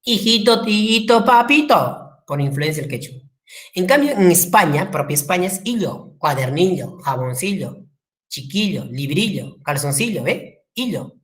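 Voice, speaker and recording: Low-voiced Peruvian woman teaching a class. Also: measured pace. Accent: Peruvian Spanish. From Peru. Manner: lively, acts out quotes, asks the class questions. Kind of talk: classroom lecture.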